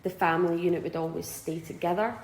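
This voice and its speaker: A young Scottish woman in her twenties talks through interview answers, pausing often with fillers and restarts. Her lowish voice has a gravelly fry, and the room echoes around her. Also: fairly even intonation, slight background noise. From Scotland. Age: 20s. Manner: hesitant, many fillers and restarts.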